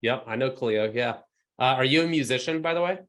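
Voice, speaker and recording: A man's voice: mid-range voice, fairly quick, a bit bright. A man hosting a podcast, asking questions in a friendly, chatty way. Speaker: podcast host. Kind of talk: casual interview chat.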